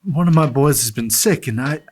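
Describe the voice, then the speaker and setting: A man's voice: mid-range voice, fairly quick, darkish tone, natural voice. A man playing a humble young cowhand in a western radio play, hesitant, stammering and deferential. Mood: meek, pleading. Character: meek young lanky cowpoke, humble and hesitant.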